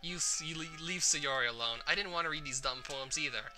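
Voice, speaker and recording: A man's voice: a little high, brisk, music in the background, close to an everyday voice. A man voicing a feisty, blunt teenage schoolgirl in a visual novel, snappy and indignant. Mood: angry.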